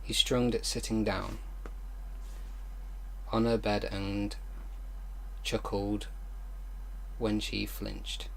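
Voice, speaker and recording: Low-voiced man reading aloud and reacting. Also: little pitch movement, gravelly, a bit bright, slight background noise, poor audio. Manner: halting reading, exasperated disbelieving outbursts, casual swearing. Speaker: online video host. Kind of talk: reading fan fiction aloud with exasperated reaction commentary.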